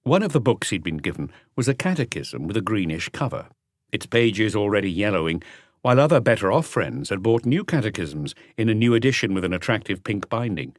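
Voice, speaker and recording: A man's voice: fairly low pitch, very animated, brisk, no room sound, studio quality. A man reading a mystery novel as an audiobook narrator in a scripted storytelling style.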